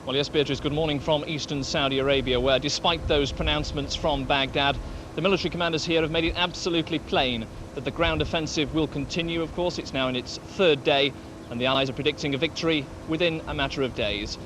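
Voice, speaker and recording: Male field reporter over clear background noise. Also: on the high side, fairly even intonation, quick pace. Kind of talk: live news report. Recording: noisy live location feed.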